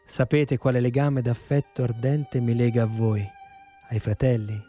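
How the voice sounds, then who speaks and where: An actor playing a young condemned prisoner, reading his farewell letter in a solemn, tender, measured way. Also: mid-range voice, flat delivery, dark tone, soft background music, close to an everyday voice.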